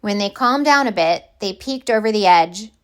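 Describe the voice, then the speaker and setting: A woman reading a children's picture book aloud in deliberate, expressive narration. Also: medium pitch. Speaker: storybook reader.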